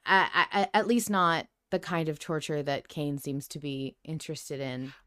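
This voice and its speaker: A woman's voice: low voice, fairly even intonation, moderate pace, fairly bright tone. A woman co-hosting a casual podcast discussion, thinking aloud with emphatic speculation. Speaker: podcast co-host. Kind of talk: casual discussion and speculation about an audio drama.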